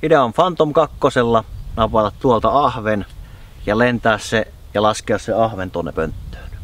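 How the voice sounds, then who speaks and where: A man's voice: lowish voice, fairly bright tone. A man in his thirties casually explaining his plan to the camera, recorded outdoors by a lake on a camera mic. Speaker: vlogger.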